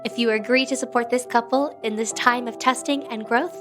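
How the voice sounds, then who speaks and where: A woman playing a composed, gracious young leader in an audio drama, measured and gently formal. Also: on the high side, fairly even intonation, over music, natural voice. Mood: solemn.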